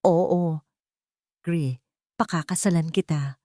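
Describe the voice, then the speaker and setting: Narrator as a gentle, forgiving young wife. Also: female voice, low-pitched, slow, no room sound, studio quality. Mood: joyful.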